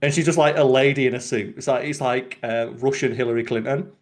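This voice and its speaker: A man hosting a podcast, chatting casually and retelling scenes in an animated, rambling way full of filler "like". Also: mid-range voice, fairly quick. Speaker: podcast host. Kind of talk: casual podcast chat about films.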